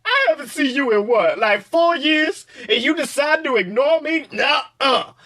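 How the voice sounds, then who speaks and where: A man voicing an excitable, sassy talking donkey who is easily offended and exclaims indignantly. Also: very high voice, a little slow, pitched above the performer's own voice, full character voice.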